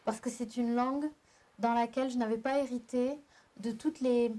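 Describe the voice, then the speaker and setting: Soft-toned French woman poet, hesitant. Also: on the high side, fairly even intonation. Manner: thoughtful, halting, with restarts. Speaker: poet and panel guest. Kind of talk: round-table discussion. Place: live public venue.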